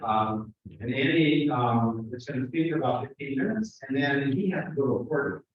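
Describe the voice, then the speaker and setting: A man introducing panel speakers at a conference, halting with many fillers and restarts. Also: mid-range voice, lively intonation. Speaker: session moderator. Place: conference room. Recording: echoey hall from far off, dull sound.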